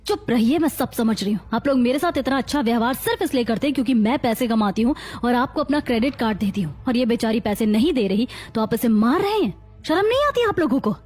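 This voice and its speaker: Woman acting a fierce high-voiced protective sister-in-law. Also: expressive, fast talker, darkish tone, slightly rough, soft background music. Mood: indignant. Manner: scolding, emphatic, declarative. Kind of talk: melodramatic animated story dialogue.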